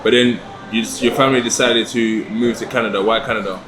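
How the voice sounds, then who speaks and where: A man hosting an interview and asking relaxed questions. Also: mid-range voice, quick pace, music in the background. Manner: relaxed, asks questions, uses fillers. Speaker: podcast host. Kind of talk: casual interview. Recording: echoey, noisy room.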